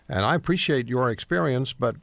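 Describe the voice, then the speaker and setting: A man hosting a radio talk show, firm and quick to cut in on callers. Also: mid-range voice, lively intonation, vocal fry, fairly bright tone, no room sound. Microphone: studio microphone.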